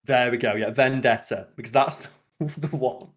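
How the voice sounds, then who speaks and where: A man hosting a podcast, chatting casually and retelling scenes in an animated, rambling way full of filler "like". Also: mid-range voice, moderate pace. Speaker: podcast host. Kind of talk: casual podcast chat about films.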